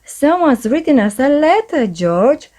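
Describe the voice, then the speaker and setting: A woman voicing a cheerful, excitable little girl pig in a children's storybook, with eager exclamations. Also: high-pitched, a little slow, pitched above the performer's own voice. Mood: excited.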